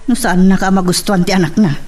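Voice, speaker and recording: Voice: mid-range voice, steady tone, fast, a little mellow, lightly acted. A performer playing a teasing, scheming buddy in an Ilocano radio drama, with slangy delivery.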